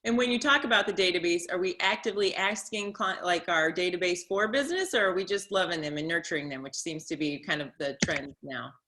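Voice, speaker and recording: A woman's voice: fairly low pitch, brisk, few pauses, pushed and bright. A woman interviewing a guest in casual conversation, asking curious, probing follow-up questions.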